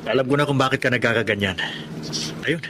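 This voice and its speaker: A man's voice: mid-range voice, fast talker, noisy background, natural voice. A man acting an exasperated, evasive philandering husband in Filipino radio drama, with a Filipino accent. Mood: evasive, coaxing.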